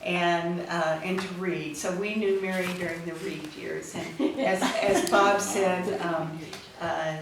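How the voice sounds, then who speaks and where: Lowish-voiced woman, distant in a very echoey room. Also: steady tone, takes it slowly. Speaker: speaker at a memorial celebration. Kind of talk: informal anecdote told to a gathering. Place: back room at a memorial gathering. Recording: echoey noisy room, far from mic.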